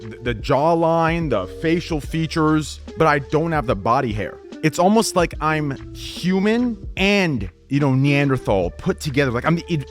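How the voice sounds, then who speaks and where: A man chatting casually on a livestream as a streamer, blunt, profane and rambling. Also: medium pitch. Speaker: Twitch streamer.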